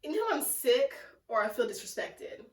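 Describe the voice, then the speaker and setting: A young woman with a sick, congested, raspy and nasal voice, chatting casually in a home plant vlog with lots of fillers. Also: a little high, animated, normal speed. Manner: chatty and self-deprecating, lots of "like" and "um". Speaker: plant vlogger. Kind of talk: casual rambling vlog chat. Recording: echoey room, mic at a distance.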